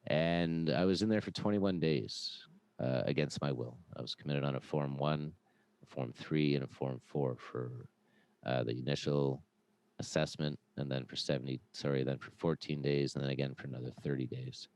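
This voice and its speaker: Very deep-voiced man telling his story. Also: normal speed, clear, resonant tone, no echo. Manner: loud voice; earnest, with frequent "uh" and "um". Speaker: podcast guest; former police officer. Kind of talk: conversational personal storytelling.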